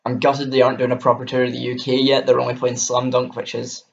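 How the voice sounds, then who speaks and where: A man's voice: mid-range voice, completely flat delivery, fast talker, creaky, lo-fi sound, slight background noise. A young Scottish man with a Scottish accent, enthusiastically rambling through a casual album review to camera. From Scotland. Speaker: music vlogger.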